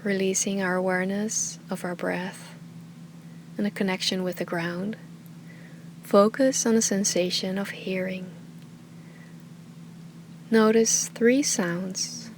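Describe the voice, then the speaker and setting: A woman teaching meditation with a calm, soothing, unhurried delivery that often trails into breathy softness. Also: mid-range voice, slight background noise. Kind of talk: guided meditation, soft slow instruction.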